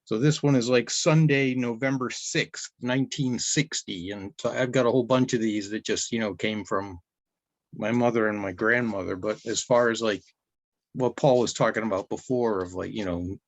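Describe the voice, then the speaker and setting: A man's voice: fairly low pitch, moderate pace, no echo. A man in an online club meeting, talking casually in a rambling, conversational way on a video call. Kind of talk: casual show-and-tell.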